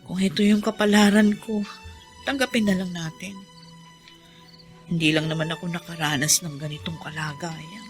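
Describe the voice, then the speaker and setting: A woman playing a gentle, resigned, ailing middle-aged mother in a Filipino radio drama, with a Filipino accent. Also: on the low side, fairly even intonation, moderate pace, pauses often, soft background music, natural voice. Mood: gentle resignation.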